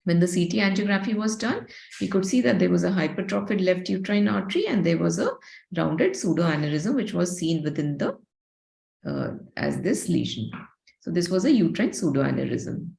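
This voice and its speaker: A woman's voice: fairly low pitch, big pitch swings, normal speed, vocal fry, a little mellow. A woman lecturing on radiology cases, explaining step by step in a didactic way. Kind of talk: case-based medical lecture.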